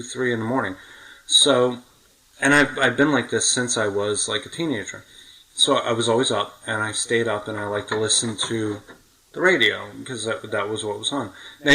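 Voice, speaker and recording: A man's voice: mid-range voice, bright, forceful tone, poor audio. A middle-aged man hosting a podcast, chatting casually and reminiscing in a talkative way, often rephrasing himself. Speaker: podcast host.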